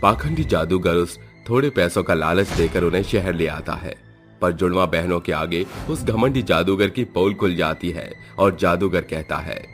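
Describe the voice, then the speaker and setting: A man's voice: low voice, normal speed. A man giving dramatic scripted narration of a horror story. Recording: music behind.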